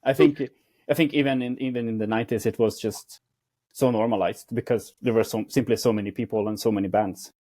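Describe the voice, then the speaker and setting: A man in his forties with a Swedish accent talks loosely as a podcast guest, with lots of fillers. His voice sits at a middle pitch. The sound is close and dry, with no room around it. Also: a little fast, no room sound. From Sweden. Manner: relaxed, loose, filler-heavy talk. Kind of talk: casual interview chat.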